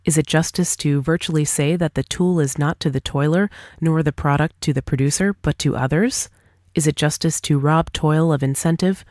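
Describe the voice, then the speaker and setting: A woman's voice: low voice, a little mellow, no room sound, studio quality. A woman reading a scripted dialogue aloud as for an audiobook, in a formal, argumentative manner. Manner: formal, argumentative, rhetorical questioning. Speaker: audiobook reader.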